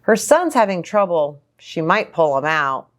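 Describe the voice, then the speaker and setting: A woman's voice: fairly low pitch, sing-song, unhurried. A woman voicing a gossiping schoolgirl in a children's storybook, matter-of-fact and confiding.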